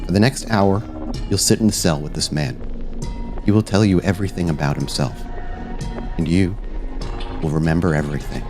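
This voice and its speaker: A man playing a controlling male vampire in a tabletop game, giving orders in a quiet, deliberate, commanding manner. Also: low-pitched, over music. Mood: cold, commanding.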